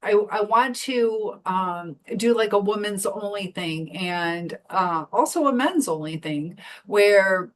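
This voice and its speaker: Chatty woman guest on a video call, lots of ums and likes, mid-pitched voice. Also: slow, few pauses, a little hiss or hum. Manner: chatty, many fillers and restarts. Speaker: community founder guest. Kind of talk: casual interview chat.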